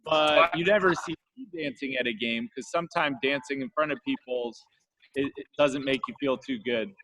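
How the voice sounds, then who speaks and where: A man's voice: medium pitch, normal speed, bright, forceful tone, low-quality recording. A man talking casually with children as the guest reader at a read-aloud. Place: video call.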